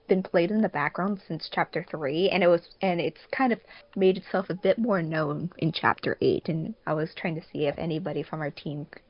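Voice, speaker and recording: Woman, casual podcast talk, dry close sound, dull low-fidelity recording. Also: dry recording. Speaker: podcast panelist, fandub production crew member. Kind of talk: casual group podcast chat.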